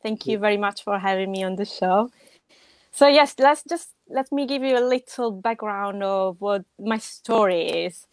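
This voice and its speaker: A woman with a strong Italian accent tells her story with lively emphasis and a bright, confident energy. Her voice sits at a middle pitch and sounds dry and close to the mic, with no room around it. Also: moderate pace, dry recording. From Italy. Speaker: podcast guest, web designer. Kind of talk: casual interview talk.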